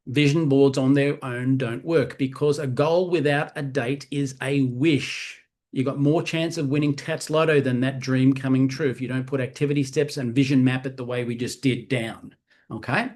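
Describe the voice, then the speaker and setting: Australian male trainer, 50s, upbeat motivational delivery, mid-pitched voice. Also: normal speed. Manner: animated, peppers talk with "right?". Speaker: sales trainer.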